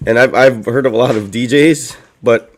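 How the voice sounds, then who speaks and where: A man in his thirties, still a bit hoarse, co-hosting a casual talk show and rambling with lots of "like" and "you know". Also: mid-range voice, normal speed. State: hoarse, recovering from a lost voice. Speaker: co-host. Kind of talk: casual chat.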